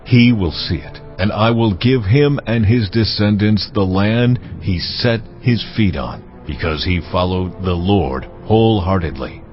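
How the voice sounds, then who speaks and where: An actor voicing a stern, solemn God in a dramatized scripture reading, speaking in measured, commanding pronouncements. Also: male voice, fairly low pitch, lively intonation. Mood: stern, wrathful. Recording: dull sound with music underneath. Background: music.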